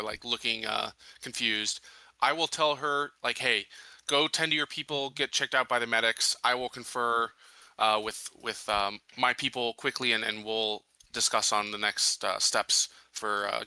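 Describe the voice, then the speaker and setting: A man with a very bright, forward voice talks through his moves as a player in a tabletop game. He is measured and a little hesitant, with a dry, close sound. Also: medium pitch, clean tone, dry recording, low-quality recording. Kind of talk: casual in-character roleplay.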